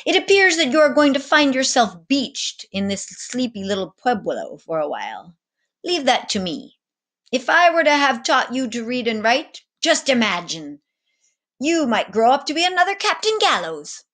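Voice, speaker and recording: A woman's voice: high voice, big pitch swings, moderate pace, fairly bright tone, voice pushed up, heavily acted. A woman voicing a tall, proud male ship captain who talks in a grand, bookish way. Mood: boastful.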